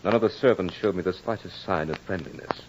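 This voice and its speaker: An actor playing a proud, battle-hardened soldier in old radio horror drama, with formal, theatrical diction. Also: male voice, low voice, brisk, slight background noise, lightly acted. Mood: brooding, remorseful. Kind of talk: 1940s-50s radio horror drama acting. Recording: muffled old-time radio recording with music.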